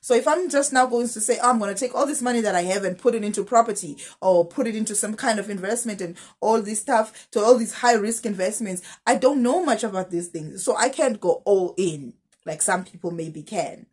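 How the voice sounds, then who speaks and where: A woman's voice: medium pitch, a little fast, hardly any pauses, darkish tone, slightly rough. A woman, a teacher giving direct money advice in a casual vlog, asking her viewers question after question. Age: 30s. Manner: direct, advising, piles up rhetorical questions. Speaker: teacher and finance vlogger.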